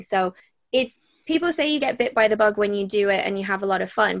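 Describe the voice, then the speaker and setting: A woman's voice: mid-range voice, gravelly. Giggly young woman with a mixed Irish accent. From Ireland. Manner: chatty and giggly, often laughing mid-sentence. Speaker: guest. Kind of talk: casual interview chat.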